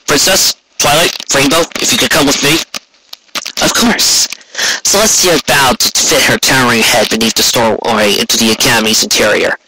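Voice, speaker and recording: A man's voice: mid-range voice, sharp tone. Man narrating a story, noisy recording. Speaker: audiobook reader. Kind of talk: scripted fan fiction narration. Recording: clearly noisy amateur recording with clicks.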